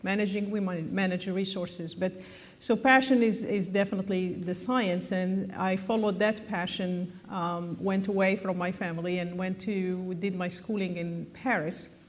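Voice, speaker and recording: A woman's voice: medium pitch, fairly even intonation, moderate pace. A middle-aged woman from Morocco addresses a hall from a conference panel. She talks in an earnest, encouraging way, circling back and restarting her sentences. Her voice carries a long reverb from the large room. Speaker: panelist, biotech executive.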